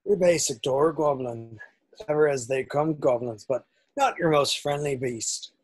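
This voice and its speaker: A man playing a gruff, kindly giant with folksy rustic phrasing in a screenplay table read. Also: fairly high pitch, unhurried, dry recording. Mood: warm, knowing.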